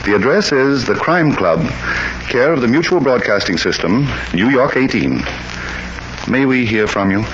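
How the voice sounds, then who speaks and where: A man's voice: mid-range voice, animated, normal speed, a bit bright. A man hosting and narrating a vintage radio crime program who politely appeals to listeners to write in. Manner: earnest, courteous appeal to listeners. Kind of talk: 1940s radio announcing. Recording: dull old radio broadcast with hiss.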